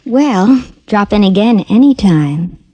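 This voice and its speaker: A woman's voice: mid-range voice, expressive, slow, warm tone, no echo, lo-fi sound. An actress playing a dim, friendly young American woman in a 1950s radio detective drama. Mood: casual, hesitant. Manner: naive, slangy.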